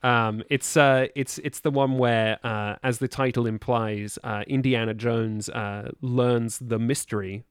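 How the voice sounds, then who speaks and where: A man's voice: medium pitch, steady tone, unhurried, few pauses, smooth, no echo, studio quality. A man speaking as a podcast guest in casual chat, good-humoured and rambling, often hesitating as he works through a thought.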